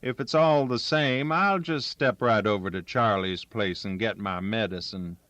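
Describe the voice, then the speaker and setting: A man's voice: lowish voice, a little slow, a bit bright, no room sound, lo-fi sound. A man voicing a wry old backwoods rascal with folksy dialect and a mock-doleful manner, in an audiobook-style story.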